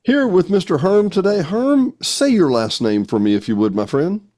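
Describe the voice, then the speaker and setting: Lively, casual male interview host. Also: high voice, normal speed, faint music behind. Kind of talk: casual chat.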